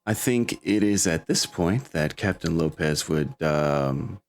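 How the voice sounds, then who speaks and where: A man narrating a tabletop game as game master, with a halting, hesitant delivery. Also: low voice, expressive, soft background music.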